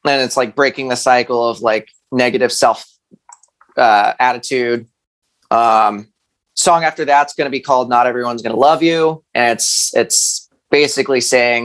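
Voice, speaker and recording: Man, around thirty, interview guest, casual chat, hesitant, rambling, slangy delivery, medium pitch. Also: moderate pace, a bit bright. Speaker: guest musician.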